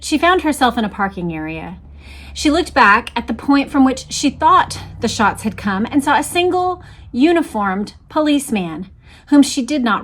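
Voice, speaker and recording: Higher-pitched woman explaining and reading aloud. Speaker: host reading a book aloud with commentary. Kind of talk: explanatory talk and dramatic read-aloud.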